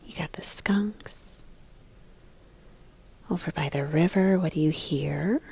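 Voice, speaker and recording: A woman art teacher leading a picture book lesson for young children in a guiding way, with a breathy, husky voice. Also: sits low, takes it slowly, warm tone. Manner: guiding, prompts listeners with questions.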